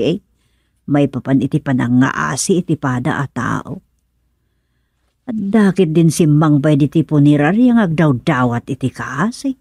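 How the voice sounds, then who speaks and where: A woman's voice: low-pitched, vocal fry, warm tone, slightly rough, dry recording, clean studio sound, full character voice. A woman playing a chatty, persuasive village wife in an Ilocano radio comedy drama, with an Ilocano accent. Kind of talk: radio serial drama acting.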